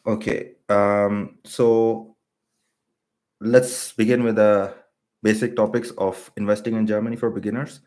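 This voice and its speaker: A man's voice: on the low side, unhurried. A man in his thirties from Pakistan, explaining personal finance to viewers on a live stream, with frequent ums and uhs. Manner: hesitant, many ums and uhs, steady and explanatory.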